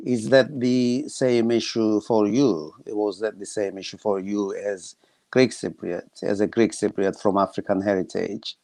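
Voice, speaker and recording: Older guy hosting a video panel, slow talker, dry sound, laughs a lot. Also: lowish voice, steady tone, dry recording. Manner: laughs often, asks questions warmly. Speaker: host. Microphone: video call.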